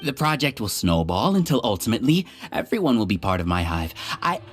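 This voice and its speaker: Very low-voiced, arrogant villainess. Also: female voice, big pitch swings. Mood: cold, domineering. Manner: measured, grandiose, condescending. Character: arrogant hive-mind villain, cold and scheming overseer. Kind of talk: scripted video game voice acting. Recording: voice over background game music.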